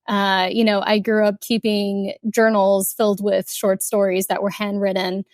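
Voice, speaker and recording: A young woman from Kuwait, an author talking casually in an interview, chatty with many fillers and soft laughs. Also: medium pitch, level tone, moderate pace, no room sound, studio quality. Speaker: author guest.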